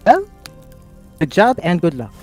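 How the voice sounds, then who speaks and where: A player acts out a wandering fantasy sky cowboy in a tabletop audio drama. The voice is medium-pitched and unhurried, halting and guarded yet kindly. Soft music runs behind it. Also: huge pitch swings. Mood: guarded, caring. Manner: hesitant, halting.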